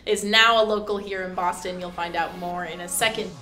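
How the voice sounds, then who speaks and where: A woman's voice: on the low side, steady tone, brisk, sharp tone, faint music behind. A woman hosting a casual interview show, upbeat and asking follow-up questions. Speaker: show host. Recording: echoey room, faint ambient noise.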